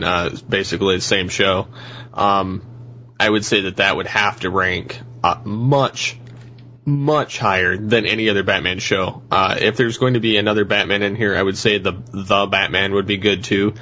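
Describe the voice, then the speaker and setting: Low, bright-voiced man, halting delivery. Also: dull audio, a little hiss or hum. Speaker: podcast panelist. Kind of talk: casual discussion.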